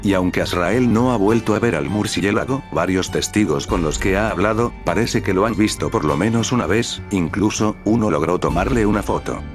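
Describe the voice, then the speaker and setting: A man narrating a scripted horror recap over dark music. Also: on the low side, normal speed, few pauses. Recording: background music under the narration.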